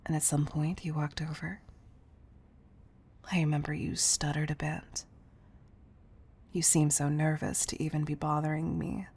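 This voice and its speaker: A woman playing a commanding but fond CEO in an intimate roleplay audio, speaking softly and deliberately to the listener, often near a whisper. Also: low voice, exaggerated voice. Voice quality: breathy, soft. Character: commanding, tough-loving female CEO, fond underneath.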